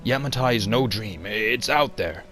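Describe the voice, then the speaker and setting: Man playing a nervous male archaeologist, stubborn, hesitant, lowish voice, motion comic, music behind. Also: lively intonation, unhurried, fairly bright tone. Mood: earnest, determined. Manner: hesitant, stammering. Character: anxious, stubborn male archaeologist, desperate for funding. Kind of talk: amateur motion comic voice acting.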